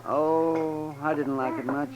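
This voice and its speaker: An actor playing a crusty, wry frontier town doctor in a 1950s radio western, with a droll, theatrical delivery. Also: male voice, fairly high pitch, fairly even intonation, takes it slowly, lo-fi sound, a crowd in the background.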